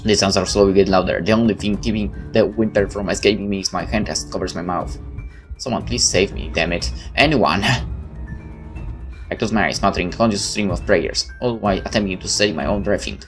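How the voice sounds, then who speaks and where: A man's voice: fairly low pitch, brisk, soft background music. A man hosting a gaming video, reading visual novel text aloud with stumbles and exasperated, sweary asides.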